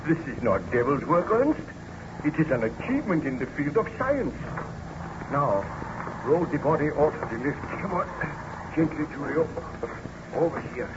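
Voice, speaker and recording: An actor playing an obsessed, weary baron and scientist in a theatrical, declamatory old radio drama style. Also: male voice, on the high side, sing-song, unhurried, long pauses. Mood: defiant, excited. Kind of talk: 1930s-40s radio serial acting. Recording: muffled, noisy old radio recording.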